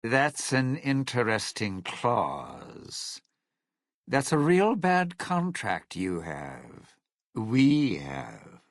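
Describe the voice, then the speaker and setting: A man's voice: medium pitch, wildly swooping pitch, very slow, dry recording, clean studio sound, heavily acted. A man playing a slick, cynical lounge singer turned scheming survivor in a video game, with smug, theatrical showbiz patter and an edge of menace. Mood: calculating, threatening.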